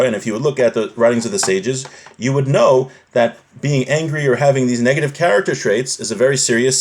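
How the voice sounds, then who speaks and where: A man teaching a live religious class, reading from a text, translating it and explaining it point by point, often rephrasing. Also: medium pitch.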